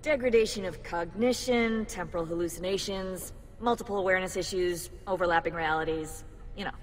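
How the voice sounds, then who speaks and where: A woman's voice: fairly low pitch, fairly quick, a little hiss or hum, close to an everyday voice. An actress playing a clinical woman scientist, echoing. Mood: hesitant, clinical. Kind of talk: video game cutscene acting.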